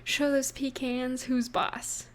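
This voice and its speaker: A young woman playing an affectionate, playful girlfriend in an intimate audio roleplay, speaking softly and tenderly with gentle pauses. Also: sits high, little pitch movement. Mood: playful, relaxed. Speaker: voice actor in romantic audio roleplay. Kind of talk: intimate scripted roleplay addressed to the listener.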